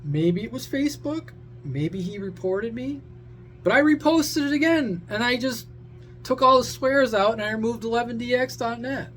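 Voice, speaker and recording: A man's voice: extremely high pitch, moderate pace, a bit bright, a little hiss or hum. A man giving a casual, opinionated rant to his viewers, blunt, sarcastic, crude and exasperated. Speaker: social media creator and CB radio hobbyist.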